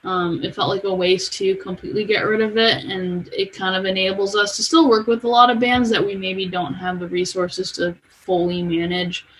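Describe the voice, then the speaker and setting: A woman's voice: medium pitch, level tone, normal speed, few pauses, poor audio. A woman explaining things informally, as a guest in a casual interview. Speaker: podcast guest, music company manager. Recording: clear background noise.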